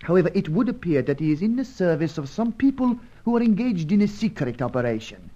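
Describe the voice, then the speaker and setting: A man's voice: high voice, big pitch swings, quick pace, dark tone, highs cut off. A man playing a loyal, shady South American manservant in a spy radio serial, speaking in formal, ornate, deferential phrases. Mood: sly, grave. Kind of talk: old-time spy radio serial acting.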